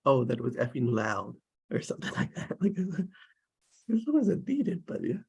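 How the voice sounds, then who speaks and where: A man's voice: mid-range voice, huge pitch swings, unhurried, vocal fry, slightly rough. A man talking casually about a script at a table read, rambling with many restarts.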